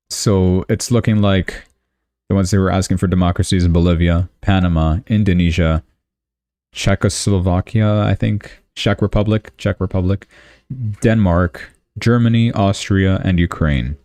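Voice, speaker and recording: A man's voice: low-pitched, unhurried, warm tone, no room sound. A young man from Canada hosting a live stream, talking through world news casually and reading official statements aloud, with asides and self-corrections.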